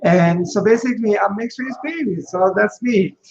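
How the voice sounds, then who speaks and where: A man's voice: sits high, moderate pace, gravelly, darkish tone. Casual German-accented male co-host, storytelling, muffled recording. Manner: chatty, storytelling with fillers. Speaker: online co-host. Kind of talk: casual stand-up hosting.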